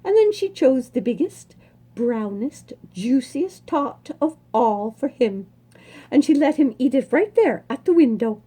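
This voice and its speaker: High-pitched, animated woman reading a children's story. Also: slightly rough. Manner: dramatic, savoring words with emphatic pauses. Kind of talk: expressive read-aloud of a children's storybook.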